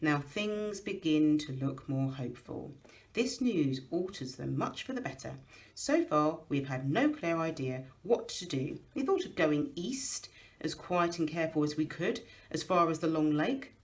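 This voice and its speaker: Storybook dwarf chief, male, acted by a woman: proud, gruff, sceptical, pompous, with lively intonation. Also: low-pitched, unhurried. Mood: self-important.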